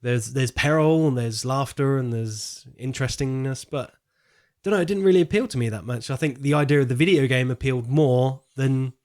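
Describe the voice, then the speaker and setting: A man's voice: mid-range voice, brisk, darkish tone. Man chatting casually on a podcast. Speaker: podcast co-host. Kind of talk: casual film discussion.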